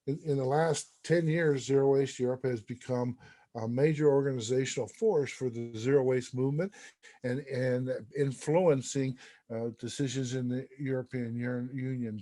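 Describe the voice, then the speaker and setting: A man hosting a conference, introducing a speaker in halting, deliberate phrases. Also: medium pitch, warm tone.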